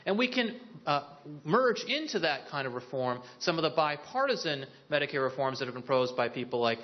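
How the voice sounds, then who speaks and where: A man's voice: fairly high pitch, animated, lo-fi sound. A man presenting a policy proposal at a briefing, explaining points step by step and answering his own questions, with a few restarts. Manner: explanatory, poses questions then answers them; occasional restarts and filler pauses. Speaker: policy speaker on a briefing panel. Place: briefing room.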